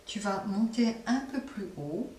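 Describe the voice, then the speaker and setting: A woman leading a guided relaxation as a yoga nidra teacher, speaking softly and unhurriedly with long pauses between phrases. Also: mid-range voice, animated. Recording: roomy echo, distant mic, faint noise.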